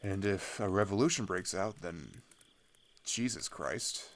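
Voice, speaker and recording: A young man playing a weary teenage boy fleeing his homeland, speaking haltingly in an intimate, naturalistic audio roleplay. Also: low-pitched, expressive, vocal fry, full character voice. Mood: uncertain, anxious. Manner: hesitant, halting, sighing, trailing off.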